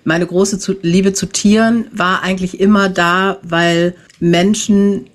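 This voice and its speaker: A woman's voice: medium pitch, fairly even intonation, takes it slowly. Chatty woman in her fifties. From Germany, grew up in the Netherlands. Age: 50s. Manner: chatty, warm, frequent "ne?" tag questions, laughs easily. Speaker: guest, animal communicator. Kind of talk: casual interview chat.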